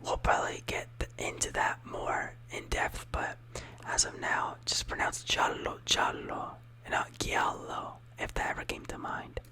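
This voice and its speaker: Slow whispered ASMR teacher. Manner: soft whispering throughout; rambling, casual, lots of fillers. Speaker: teacher of Italian words.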